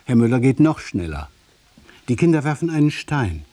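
A man narrating a radio play from a literary script, deliberately, in short phrases set apart by pauses. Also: mid-range voice, very animated, warm tone, dry recording.

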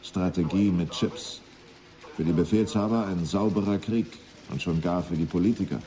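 An actor playing a grim, disillusioned general in a radio play, reporting in a sombre, bitter, measured way. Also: male voice, sits low, dark tone, close to an everyday voice.

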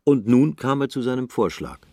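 A man narrating a literary radio play in a measured, even way. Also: mid-range voice, no echo, clean studio sound.

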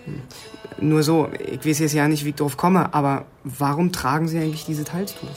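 Young male cop played by an actor, persistent yet polite, lightly pitched, naturalistic radio crime drama. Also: fairly even intonation, moderate pace, faint music behind, close to an everyday voice. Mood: casual, discreet. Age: young adult. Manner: matter-of-fact, probing. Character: young, probing small-town police officer, politely persistent.

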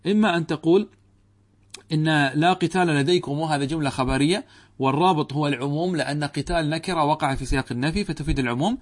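A man's voice: fairly high pitch, fast. Arabic grammar teacher lecturing his class. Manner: explains by asking the class questions and answering them; addresses students directly, checks understanding.

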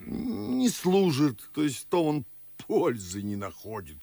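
An actor playing a pompous, garrulous older Moscow nobleman, declaiming husky-voiced in old-fashioned theatrical verse for a radio play. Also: on the high side, wildly swooping pitch, slow, mellow, full character voice. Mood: admonishing.

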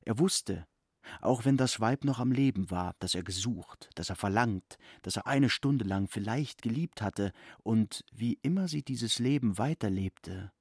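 A man narrating a literary radio play in a gravelly, husky voice. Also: on the low side, measured pace, darkish tone, dry recording, studio quality.

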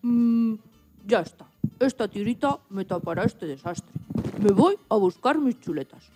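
A woman's voice: mid-range voice, expressive, measured pace, music in the background, lightly acted. A woman voicing a small, anxious and polite piglet in a children's radio play, exclaiming and muttering questions to itself. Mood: indignant, wondering. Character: small anxious, polite young piglet searching for lost chops.

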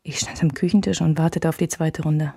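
A woman's voice: low voice, fairly even intonation, a little fast, creaky, dark tone, slightly rough, no echo, exaggerated voice. An actress playing a dry, observant woman recalling her lover, narrating with understatement in a German radio drama. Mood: dry, wistful.

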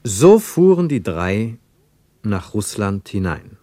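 A man narrating a literary radio play in a measured, precise, wry storytelling manner. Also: on the low side, very animated, dark tone, no room sound, full character voice. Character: measured, wry male narrator of a travel tale.

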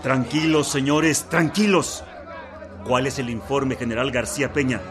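An actor playing a solemn, composed president in a radio drama, speaking in a formal, oratorical way. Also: male voice, mid-range voice, unhurried, background noise, natural voice. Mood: composed concern. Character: male president rallying loyal troops, solemn and composed. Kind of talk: Spanish-language historical radio drama acting.

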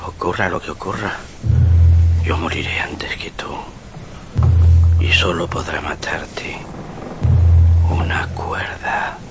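An actor playing an ominous prophet who speaks in a hushed whisper in a radio play. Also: male voice, low-pitched, slow pace, creaky, pauses often, exaggerated voice. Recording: radio drama over loud music.

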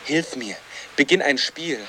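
A man's voice: fairly high pitch, measured pace, bright, forceful tone, a little hiss or hum, low-quality recording. An actor playing a desperate man trapped inside a computer game, pleading urgently in a radio drama.

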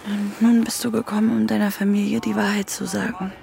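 An actress playing an intimate, quietly probing woman who asks short questions in a radio drama. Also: little pitch movement, fairly quick, creaky. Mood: quiet, knowing. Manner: low-key, probing, terse questions.

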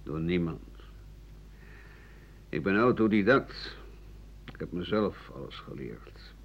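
An actor playing a bitter, cynical old French doctor and writer in a radio play, rambling and scornful with muttered asides. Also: male voice, sits low, animated, slow pace, vocal fry, heavily acted. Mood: defiant, bitter. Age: older. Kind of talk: radio play monologue in interview form. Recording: muffled, noisy 1980 radio drama recording.

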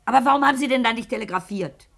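A woman's voice: fairly high pitch, rapid-fire, gravelly, fairly bright tone. An actress playing a stern, sarcastic female business owner in a 1950s German radio drama, curt and commanding. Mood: impatient, accusing.

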